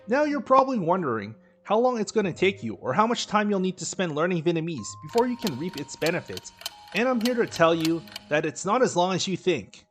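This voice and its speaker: A man reads his vlog narration in a steady, instructive way, like he is passing on tips. His voice sits a bit high and moves through a lively pitch range. Soft music plays faintly underneath. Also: brisk. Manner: steady, instructive, advice-giving delivery. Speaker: dating and language vlogger. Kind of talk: scripted voice-over narration.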